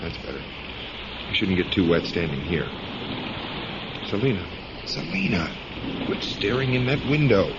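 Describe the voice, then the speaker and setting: A man's voice: low-pitched, very animated, measured pace, creaky, long pauses, heavy background noise, heavily acted. An actor playing a weary, mild-mannered husband in a vintage-style radio drama, hesitant and resigned with his wife. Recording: dull lo-fi radio recording, loud music.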